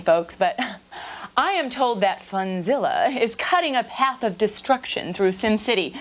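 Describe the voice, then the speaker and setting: An actress playing a polished, flippant American TV news anchor in a mock game newscast, with a deadpan delivery. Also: female voice, medium pitch. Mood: flustered. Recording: dull lo-fi audio under music. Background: music.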